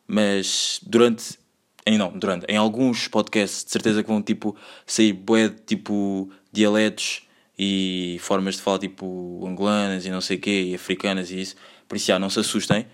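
A man's voice: low-pitched, little pitch movement, normal speed. A man in his twenties with a Lisbon Portuguese accent, hosting his own podcast in a loose, rambling way full of slang and filler words. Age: 20s. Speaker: podcast host. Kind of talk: solo casual podcast monologue.

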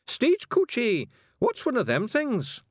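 Audiobook character: impatient Scottish bully, pitched high, growling broad dialect, threatening. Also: male voice, wildly swooping pitch, unhurried, dry recording, studio quality, exaggerated voice. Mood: puzzled.

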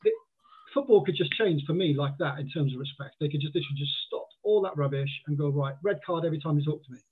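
A man's voice: fairly high pitch, fast, background noise. A man from Wales with a mixed Welsh and southern English accent, chatting casually as a podcast guest and often restarting his sentences. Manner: chatty, many restarts and acted quotes. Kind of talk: casual chat. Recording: tinny phone-like line.

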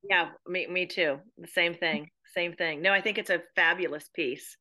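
Female interview guest, American accent, bright forceful voice, emphatic repetition. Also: lowish voice, fairly even intonation, moderate pace. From United States. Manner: emphatic, repeats phrases for effect. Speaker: podcast guest, playwright and theatre educator. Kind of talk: interview chat.

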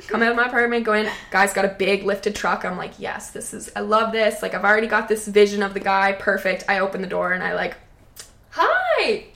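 A woman in her twenties with a voice on the low side for a woman, telling a story on a podcast. She talks casually and candidly, with lots of filler "like" and frank swearing. Her pitch sits in a middle register. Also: sing-song, a little fast, creaky, a bit bright. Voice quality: lowish, not high-pitched voice. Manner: chatty, lots of "like", candid and profane. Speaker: podcast co-host.

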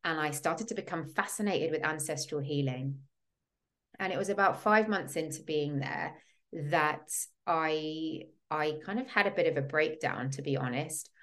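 Low-voiced woman host, reflective storyteller. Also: normal speed, fairly bright tone. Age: 30s. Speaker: podcast host, women's coach. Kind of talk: personal storytelling monologue.

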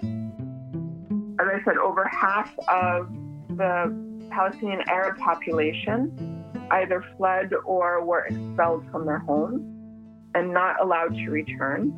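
A woman born in the United States explaining history in a measured lecture style, with occasional fillers. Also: lowish voice, fairly even intonation. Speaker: interviewed scholar. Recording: tinny phone-like line, faint music.

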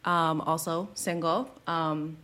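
A woman's voice: sits low, level tone, takes it slowly, bright, forceful tone. A woman in her mid-twenties, from Zimbabwe and Canada, co-hosting a podcast in a casual, jokey, self-deprecating and exclamatory way. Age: mid-20s. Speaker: podcast co-host. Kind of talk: casual chat.

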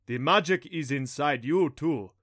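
A man voicing a big, hearty male thunder god with a loud, booming, jovial delivery in a storybook narration. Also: unhurried, dry recording, clean studio sound. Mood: approving. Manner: laughs heartily, exclaims grandly.

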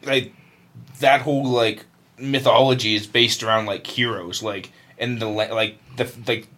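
A man co-hosting a podcast, chatting casually in a halting way, padded with filler words. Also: mid-range voice, steady tone, sharp tone. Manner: halting, filler-heavy with constant "like". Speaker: podcast co-host. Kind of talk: casual chat.

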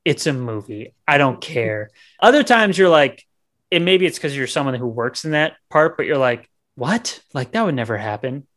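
A man's voice: mid-range voice, expressive, normal speed, a bit bright. A man co-hosting a podcast, chatting casually about a film in a blunt, slangy way. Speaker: podcast co-host. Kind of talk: casual movie discussion.

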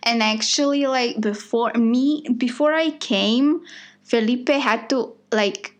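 A woman's voice: on the high side, slow. A woman from Bosnia co-hosting a casual conversation, chatty and full of "like" and "you know". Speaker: podcast co-host.

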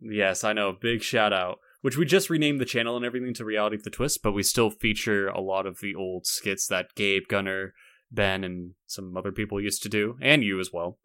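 A man's voice: lowish voice, brisk, pushed and bright, clean tone, dry recording, studio quality. A young man co-hosting a podcast in casual chat, talking in a rambling way with lots of "like". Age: young adult. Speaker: podcast co-host.

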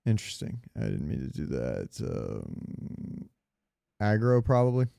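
A man's voice: very deep voice, wildly swooping pitch, unhurried, vocal fry, dark tone. A man co-hosting a podcast, chatting casually about games in slangy, profane language. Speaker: podcast co-host. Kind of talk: casual gaming chat.

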